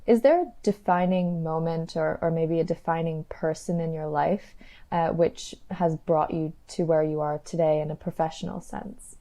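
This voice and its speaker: A woman with a very mellow timbre and a fairly low pitch. She works as an interviewer, responding briefly and posing professional questions. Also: steady tone, moderate pace.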